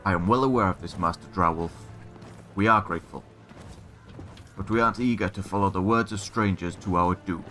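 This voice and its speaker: A man playing a deep-voiced, stern guard captain. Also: a little fast, pauses often, pushed and bright, soft background music. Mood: guarded, firm. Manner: formal, guarded, terse. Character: stern, weary, stubborn male human guard captain. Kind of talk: fantasy audio drama acting.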